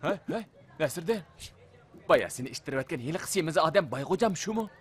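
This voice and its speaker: A man voicing a hearty companion of a boy hero in an animated cartoon. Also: a little high, brisk, slightly rough. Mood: concerned.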